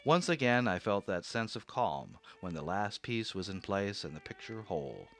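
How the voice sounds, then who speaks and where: A man's voice: fairly low pitch, sing-song, moderate pace. A man narrating his own story in a radio drama, anxious and growing obsessive.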